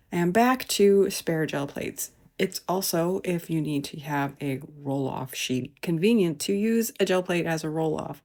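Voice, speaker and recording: A woman with a low voice and noticeable vocal fry talks through an art technique as a demonstrator. Her delivery is explanatory and unhurried, with a mildly self-deprecating streak as she guides the viewer. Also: a little mellow. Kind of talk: instructional craft demonstration voice-over.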